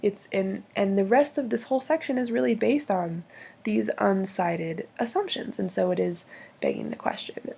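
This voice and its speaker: A woman with a hoarse voice from bronchitis, explaining logical fallacies in a hesitant way with many pauses and restarts. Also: mid-range voice, warm tone. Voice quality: husky, gravelly.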